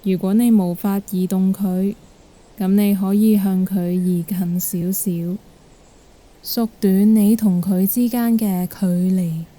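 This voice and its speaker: Slow, very mellow Cantonese-accented woman meditation guide. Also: mid-range voice. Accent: Hong Kong Cantonese. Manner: gentle, calm, unhurried instruction.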